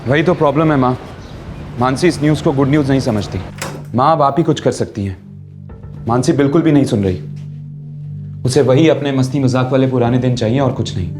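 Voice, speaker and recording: Earnest young husband played by an actor, mid-pitched. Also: male voice, brisk, pauses often, natural voice. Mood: appealing. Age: young adult. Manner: reasoning, sprinkles English words. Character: earnest, loving, hardworking young husband, placating. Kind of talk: Hindi TV crime serial acting. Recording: dramatic background music.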